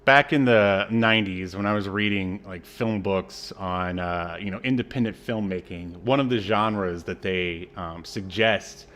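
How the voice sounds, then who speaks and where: A man with a fairly low voice chats casually as a podcast co-host. He feels his way through his thoughts in a rambling, hesitant manner, with a sardonic edge. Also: a little slow, hardly any pauses, fairly bright tone. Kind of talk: casual film discussion chat.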